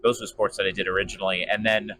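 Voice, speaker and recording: A man's voice: lowish voice, little pitch movement, rapid-fire, sharp tone, a little hiss or hum, rough recording. A man giving casual interview answers in a hesitant, rambling, conversational way. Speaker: sports PA announcer and voice actor being interviewed.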